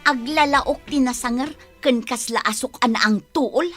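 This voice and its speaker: A woman's voice: sits high, moderate pace, music in the background, lightly acted. A woman with an Ilocano accent acting an aggrieved, troubled young pregnant woman in a radio drama. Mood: angry, hurt.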